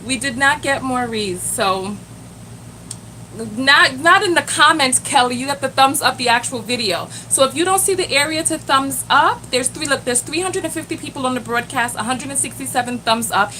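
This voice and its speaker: A woman's voice: sits high, normal speed, a little hiss or hum, low-quality recording. A woman hosting a live stream as a self-love coach, chatting animatedly and talking straight to her viewers. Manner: animated, addresses the chat directly, exclaims "Lord have mercy".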